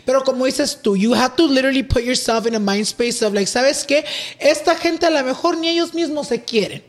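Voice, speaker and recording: Young male podcast host, twenties; very high voice, rapid, expressive, salty language. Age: 20s. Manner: expressive, slangy, swears freely. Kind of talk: casual podcast chat.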